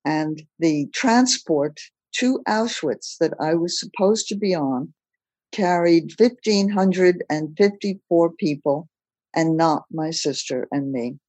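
Older woman, husky low-ish voice, telling her story slow and steady, dry close mic. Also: no echo. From Belgium. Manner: measured, plain-spoken storytelling. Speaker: guest. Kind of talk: personal storytelling in a podcast interview.

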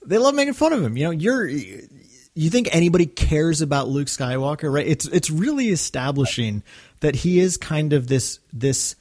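A man's voice: a little high, animated, normal speed, a little mellow. A man co-hosting a podcast, recapping casually with a fan's enthusiasm. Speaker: podcast co-host.

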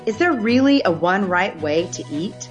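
A woman's voice: mid-range voice, lively intonation, normal speed, soft background music. A woman who hosts a podcast and works as a health coach, speaking to listeners and guests. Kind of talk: podcast interview and scripted promo.

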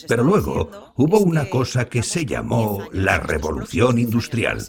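An actor playing a kindly old grandfather scientist in an audio drama, patiently explaining things to a child with a gravelly, husky voice. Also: lowish voice. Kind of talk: scripted audio drama dialogue.

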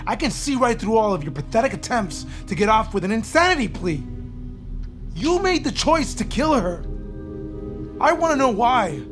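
A woman's voice: fairly high pitch, big pitch swings, moderate pace, over music. A woman playing a stern, exasperated interrogator in a naturalistic audio drama. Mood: accusing, fed up.

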